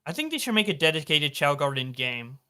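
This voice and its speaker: Male guest, bright, sharp and clean tone; rambly, swears, "like" every other word. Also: on the high side, sing-song, fairly quick, clean tone. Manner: rambling, swears, lots of "like" fillers. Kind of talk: casual livestream chat.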